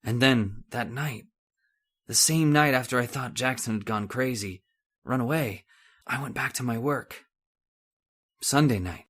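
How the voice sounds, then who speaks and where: An actor playing a cold, condescending middle-aged scientist husband in an old-time radio horror drama. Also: male voice, medium pitch, unhurried, long pauses, fairly bright tone, breathy edge. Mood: haunted narration. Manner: condescending, stern, impatient.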